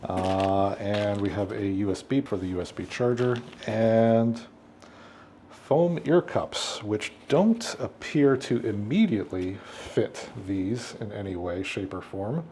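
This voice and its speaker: A man's voice: fairly low pitch, warm tone, a little hiss or hum. A middle-aged man hosting an unboxing, talking casually and unscripted, thinking aloud as he goes. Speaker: unboxing video host, AV professional.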